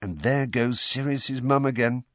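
A man's voice: medium pitch, a little slow, no echo, studio quality, pitched above the performer's own voice, close to an everyday voice. A man voicing a cheeky, brash teenage boy in an audiobook, with a breezy, mocking manner. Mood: amused.

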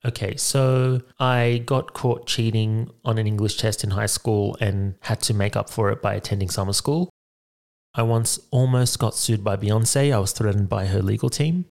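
Man, low voice, gravelly, dry teasing humor, casual podcast chat. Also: steady tone, normal speed, darkish tone. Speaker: podcast co-host.

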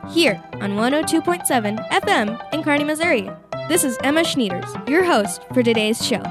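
A young woman presents a radio theater show from a script. Her voice is high, and organ music plays clearly behind her as she announces and narrates. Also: expressive, normal speed.

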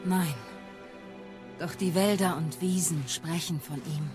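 A woman playing a solemn, mature witch goddess and mother in an audio drama, speaking in a measured, earnest way. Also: fairly low pitch, fairly even intonation. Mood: solemn, searching. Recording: music behind the voice.

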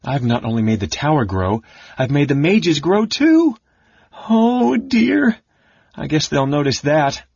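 A man voicing a small, excitable kender from a fantasy storybook, chattering in a breathless, rambling way. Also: moderate pace, gravelly, breathy edge, no echo, highs cut off. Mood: alarmed wonder. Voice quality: squeaky when transformed.

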